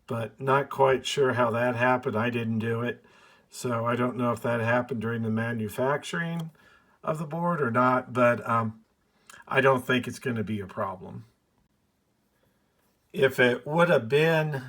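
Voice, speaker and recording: A man with a mid-pitched voice talks through a hands-on hobby repair in a casual, unscripted way. He speaks slowly, halting to think aloud as he goes. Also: animated, creaky, fairly bright tone. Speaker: hobbyist presenter of a radio repair video. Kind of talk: casual unscripted show-and-tell commentary.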